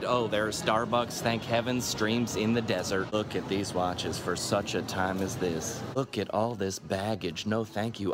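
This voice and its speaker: A man's voice: lowish voice, close to an everyday voice. A man playing a churchgoer strolling a mall, chirpily quoting scripture at every shop in a comedy sketch. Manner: chirpy, gushing asides. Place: shopping mall.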